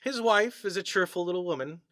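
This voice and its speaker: A man narrates an eerie short story in a theatrical, deliberate way. His voice sits a little high and has a bright, forceful edge. The recording is very dry, with little room sound. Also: animated, no echo. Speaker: story reader.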